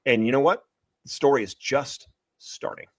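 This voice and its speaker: A man talking as an interviewed author and screenwriter, retelling a story in an excitable, emphatic, repetitive way. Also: mid-range voice, normal speed, no echo, clean studio sound. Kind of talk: animated promotional interview talk, retelling a story.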